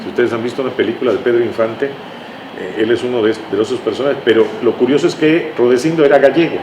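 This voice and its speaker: A Mexican man talks as a guest in a relaxed-format interview, recounting anecdotes at length with little tag questions along the way. His voice sits at an average pitch. The room is echoey, with a noticeable noisy hum behind him. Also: fast talker. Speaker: interview guest, writer recalling his radio work. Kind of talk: conversational reminiscing.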